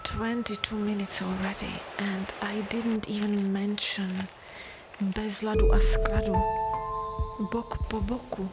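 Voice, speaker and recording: Whispering woman teacher, flat and slow, clear music underneath. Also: medium pitch. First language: Czech. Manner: hushed whisper. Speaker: language teacher. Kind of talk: whispered aside.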